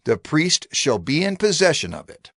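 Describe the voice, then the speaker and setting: A man voicing the Lord as he lays down laws, reading in a measured, declamatory way for a dramatized scripture recording. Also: fairly high pitch, big pitch swings, gravelly, fairly bright tone, breathy edge, no echo, clean studio sound, full character voice. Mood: stately. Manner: measured, declamatory reading with rising and falling stresses. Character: the Lord giving laws, a stately divine male voice.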